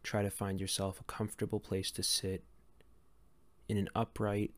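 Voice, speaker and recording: A man leading a guided meditation in a gentle, calm, unhurried way with long pauses between phrases. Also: on the low side. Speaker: meditation guide and series host.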